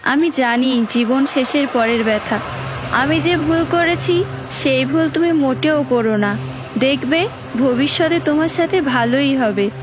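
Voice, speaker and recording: A woman's voice: high voice, measured pace, noisy background. A woman voicing a mysterious, knowing young woman with a caring manner in a cartoon story. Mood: gentle, solemn. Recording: music bed behind voice.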